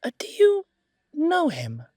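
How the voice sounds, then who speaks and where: A man's voice: very high-pitched, huge pitch swings, takes it slowly, darkish tone, no echo, clean studio sound, pitched above the performer's own voice, heavily acted. A man voicing a cheerful, fussy pointy-eared male shopkeeper in an audio roleplay, prim and polite with a fluttery stammer. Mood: nervous, apologetic.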